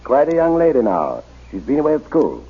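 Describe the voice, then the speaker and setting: A man's voice: medium pitch, wildly swooping pitch, fairly quick, husky. An actor playing a pious, resolute middle-aged missionary reverend in a radio adventure serial, earnest and formal in his phrasing. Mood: grave, concerned. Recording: muffled old radio broadcast recording.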